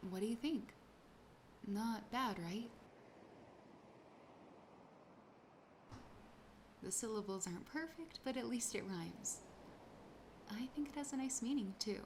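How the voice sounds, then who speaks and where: A woman voicing a wistful, sassy siren in an ASMR roleplay, softly and breathily, often half-whispering at a slow, intimate pace. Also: mid-range voice, noisy background, close to an everyday voice. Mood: shy, hopeful. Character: sassy, possessive, wistful young female siren.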